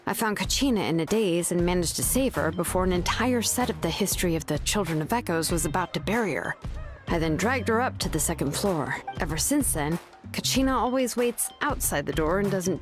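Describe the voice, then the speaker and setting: A woman's voice: lowish voice, a little fast, close to an everyday voice. Woman doing a chill young craftswoman in a game, easygoing, tells stories casually, music going behind. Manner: relaxed, casual storytelling. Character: young woman craftswoman, laid-back and frank. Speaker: artisan and name engraver. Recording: game audio with clear background music.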